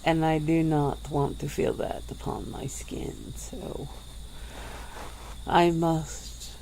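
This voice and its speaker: Lady doing a low husky old vampire woman, super slow, dark soft tone, faint hiss behind. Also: fairly even intonation, exaggerated voice, poor audio. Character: ancient brooding female vampire, wry and weary. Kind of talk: ASMR roleplay.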